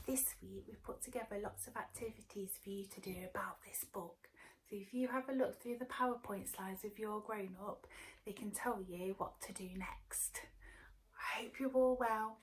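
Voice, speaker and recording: A woman teacher talking to young children and reading them a story aloud in an expressive way. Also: mid-range voice, a little hiss or hum, low-quality recording. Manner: expressive, addressing small children. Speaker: early years teacher.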